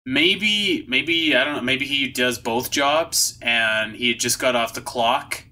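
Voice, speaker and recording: A man's voice: mid-range voice, slow pace, sharp tone. A man hosting a podcast, recapping in casual, slangy, comedic chat full of hyperbole. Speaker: podcast host.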